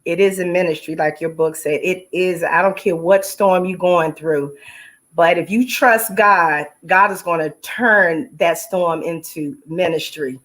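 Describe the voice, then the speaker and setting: A woman's voice: on the low side, unhurried, a bit bright. A middle-aged woman giving personal, testimonial interview answers as an actress, heartfelt and emphatic, leaning on repeated phrases like a preacher. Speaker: stage play actress and singer, interview guest.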